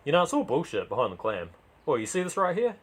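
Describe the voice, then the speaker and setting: A man's voice: a little high, wildly swooping pitch, fast, breathy edge, pitched above the performer's own voice, full character voice. A man voicing a serious male Uber driver and fight fan, intense and clipped, in a crime story.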